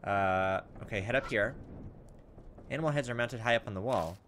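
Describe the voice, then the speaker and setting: A man's voice: lowish voice, moderate pace. A man playing through a game as host, reading its text aloud and dropping in informal, muttered asides. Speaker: let's play host. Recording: steady background noise over the voice.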